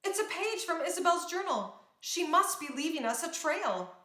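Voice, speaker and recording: A woman's voice: very high voice, very animated, moderate pace, pushed and bright, voice pushed up, heavily acted. A woman playing a brave, determined young princess in a storybook, speaking earnestly and with command. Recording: roomy, echoing sound.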